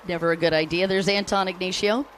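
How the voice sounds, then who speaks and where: A woman's voice: lowish voice, steady tone, quick pace, slight background noise. American woman commentating a jet ski race. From United States. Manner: chatty, shouts out to fans between race updates. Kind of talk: live sports commentary.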